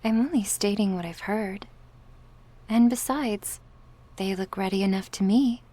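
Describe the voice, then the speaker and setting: A woman playing a politely teasing, smug young recruit of a crime syndicate, soft and breathy in an ASMR roleplay. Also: mid-range voice, measured pace, natural voice. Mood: coy.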